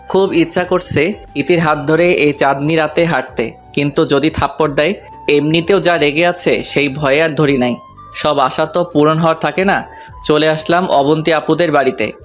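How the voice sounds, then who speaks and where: A man's voice: fairly high pitch, a bit bright. A man narrating a first-person romantic story in a playful, colloquial way.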